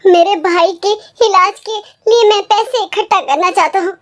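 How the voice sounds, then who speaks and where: A childlike narrator as high-voiced lazy younger brother. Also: normal speed. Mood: pleading. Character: lazy, careless younger brother in a village tale. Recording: music under the voice.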